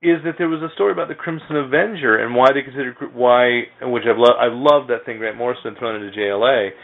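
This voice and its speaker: A man hosting a casual comics chat, telling his thoughts in a halting, reflective way. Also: medium pitch, gravelly, phone-quality sound. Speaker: podcast host.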